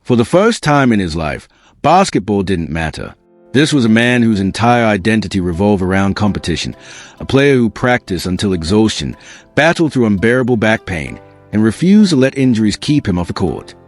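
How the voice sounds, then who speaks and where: A man's voice: lowish voice, sing-song, moderate pace, soft background music. A man reading scripted documentary narration in an earnest, dramatic storytelling manner.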